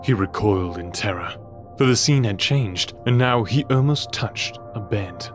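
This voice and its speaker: Male narrator, fairly low, dramatic storytelling read over prominent music. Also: animated, measured pace.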